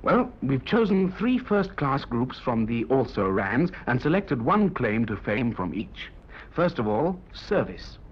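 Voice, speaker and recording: A man's voice: mid-range voice, sing-song, measured pace, slightly rough, muffled recording. A young man presenting a scripted 1950s youth radio programme, on an old broadcast recording. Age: young adult.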